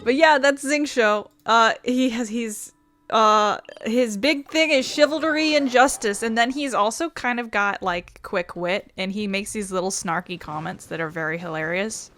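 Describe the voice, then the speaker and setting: A woman giving informal, halting gameplay commentary as the host of a gaming video. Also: fairly high pitch, fairly bright tone. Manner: informal, hesitant asides.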